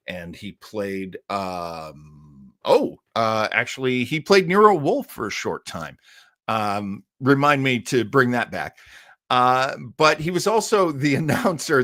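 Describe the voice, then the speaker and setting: Guy hosting old radio show stream, rambly casual chat, dry room, medium pitch. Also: a bit bright, dry recording. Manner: rambling, chatty asides. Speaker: host of an old-time radio listening show.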